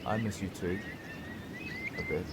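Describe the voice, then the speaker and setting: A man's voice: low voice, huge pitch swings, a little slow, noisy background, heavily acted. An actor playing a teasing, wistful young countryside man, blunt and informal, in an audio play. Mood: wistful, dry. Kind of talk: naturalistic audio play dialogue.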